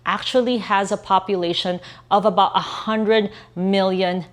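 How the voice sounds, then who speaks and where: A woman's voice: medium pitch, fairly even intonation, unhurried. A woman, talking straight to camera about her outsourcing business in an earnest, emphatic way. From Philippines. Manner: earnest, emphatic, repeats for stress. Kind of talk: direct-to-camera business talk.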